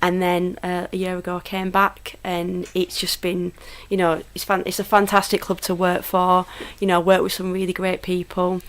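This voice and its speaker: A woman's voice: on the low side, monotone, normal speed, few pauses. A woman in her thirties from Lancashire with a Lancashire accent, a friendly, upbeat interview guest who talks with plenty of fillers. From Lancashire, England. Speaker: guest, football club PR manager. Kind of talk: casual interview chat. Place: small home studio.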